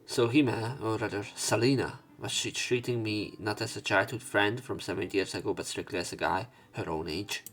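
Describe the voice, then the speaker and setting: A man doing a let's play, reading visual novel narration and dialogue aloud, somewhat haltingly, correcting himself as he goes. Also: fairly low pitch, a bit bright. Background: game music.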